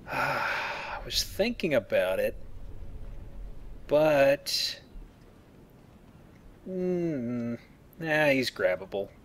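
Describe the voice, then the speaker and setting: A man's voice: mid-range voice, very animated, drawn-out words, pauses often, a bit bright. A man hosting a casual Let's Play, explaining the game and joking as he goes. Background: video game sounds.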